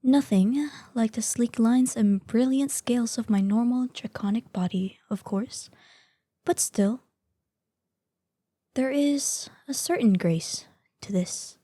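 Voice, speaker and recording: An ancient, vain dragoness in human shape, acted by a woman, speaks with a very dark, mellow tone and a breathy softness. Her delivery is slow and imperious, with formal, theatrical phrasing fit for a fantasy audio drama. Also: fairly high pitch, no echo. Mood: preening, vain. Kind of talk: scripted roleplay audio drama.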